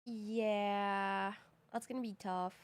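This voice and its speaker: A woman's voice: medium pitch, steady tone, slow pace, smooth. A woman live streaming a game in casual, slangy chat, her voice a little groggy from having recently woken up. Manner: slangy, informal.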